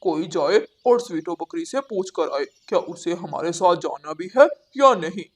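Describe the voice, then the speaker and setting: Woman doing a lower-voiced, serious cartoon crow. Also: lively intonation, moderate pace, slightly rough. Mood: serious, firm. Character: decisive crow, serious leader of the forest birds.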